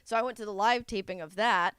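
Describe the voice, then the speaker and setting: A woman's voice: mid-range voice, quick pace, a bit bright, clear, resonant tone, no echo, clean studio sound. A young woman co-hosting a podcast in casual, playful chat, giggling often. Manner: giggly, playful, tries silly accents. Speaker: podcast co-host. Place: indoor set.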